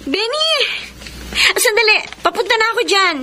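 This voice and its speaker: An actress with a Filipino accent playing a gentle married working woman torn by secret love in a radio drama. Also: extremely high pitch, lively intonation, normal speed, noisy background. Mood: pleasantly surprised. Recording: radio drama with music underneath.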